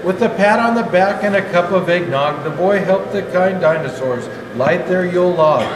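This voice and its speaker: Older man, Santa storyteller, expressive verse reading, roomy echo, clear background noise. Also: sits high, normal speed. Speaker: Santa Claus storybook reader. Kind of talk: children's storybook reading aloud. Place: indoor natural history museum hall. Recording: echoey hall, clearly noisy background.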